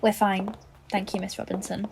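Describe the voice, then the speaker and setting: A woman playing a composed, dry-humoured police detective in a naturalistic audio drama, with a measured, professional manner. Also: medium pitch, flat delivery, vocal fry, noisy background, natural voice. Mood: polite, businesslike. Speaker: actor in an audio play.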